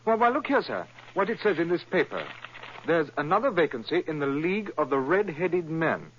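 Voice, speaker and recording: An actor playing an eager, fawning young shop assistant who speaks deferentially and stammers in an old-time radio drama. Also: male voice, on the high side, sing-song, brisk, fairly bright tone, slight background noise. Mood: coaxing. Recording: dull lo-fi vintage radio recording.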